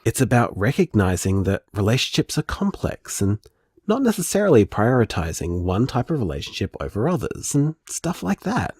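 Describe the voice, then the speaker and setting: An actor playing a thoughtful, awkwardly candid boyfriend in an audio drama, talking in a casual, rambling way. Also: male voice, fairly low pitch, big pitch swings, normal speed, a little mellow, breathy edge, dry recording, studio quality, heavily acted. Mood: earnest, musing. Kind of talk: audio drama voicemail monologue.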